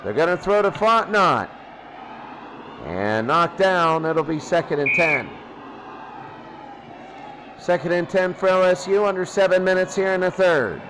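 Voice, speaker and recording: Male, high-pitched, American accent, play-by-play football commentary, loud background noise. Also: expressive, a little slow, long pauses.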